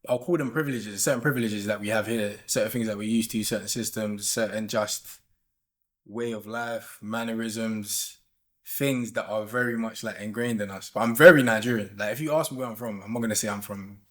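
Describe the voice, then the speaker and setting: A man from Britain talks in a fairly low voice. He gropes for words and repeats phrases as he explains himself in a relaxed group chat. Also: brisk. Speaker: panel guest. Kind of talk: casual discussion.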